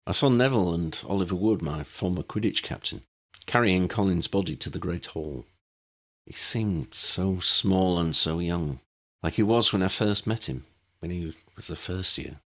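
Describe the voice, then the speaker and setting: A man voicing an earnest grown man in an audiobook, telling his story in a halting, reflective way. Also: deep voice, a bit bright. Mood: sombre, reflective. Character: earnest grown man recalling a lost school friend.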